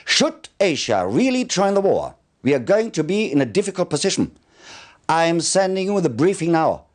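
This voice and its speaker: An actor playing a formal military commander, giving measured, deliberate mission briefings in a video game cutscene. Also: male voice, on the high side, sing-song. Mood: formal, grave.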